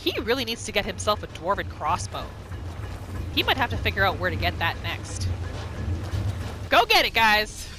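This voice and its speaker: Girl gamer doing casual roleplay commentary, really animated, repeats herself, music going behind. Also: medium pitch, normal speed, pauses often. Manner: excitable, exclamatory, repeats phrases. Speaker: gaming video host. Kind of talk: casual role-play gameplay commentary. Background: game music and sound effects.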